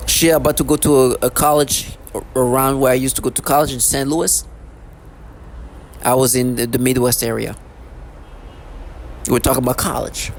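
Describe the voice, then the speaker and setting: A man's voice: medium pitch, fairly even intonation, moderate pace, long pauses, slight background noise. A man in his thirties talking in a rambling, repetitive, agitated monologue as a vlogger. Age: 30s. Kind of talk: unscripted monologue to camera.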